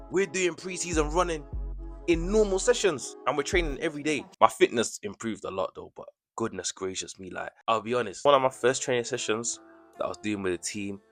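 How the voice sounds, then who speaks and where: A young man from the UK talking casually to camera about his time playing football abroad, chatty and animated, with slangy asides to the viewer. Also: medium pitch. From United Kingdom. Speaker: vlogger and footballer.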